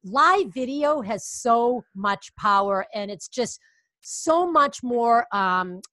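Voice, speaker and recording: A woman's voice: a little high, expressive, slow pace, sharp tone, no room sound. A woman podcast host with a Boston accent, upbeat and chatty, encouraging her guest in a casual interview.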